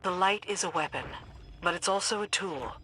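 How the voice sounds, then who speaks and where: An actress playing a hardened, duty-bound military commander in a video game, with a firm, no-nonsense manner. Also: female voice, fairly low pitch, normal speed, sharp tone, a little hiss or hum, poor audio. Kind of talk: scripted video game dialogue.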